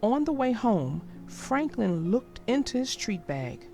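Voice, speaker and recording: A woman narrating a children's picture book aloud in a gentle, expressive storytelling manner. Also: fairly high pitch, slow, faint music behind.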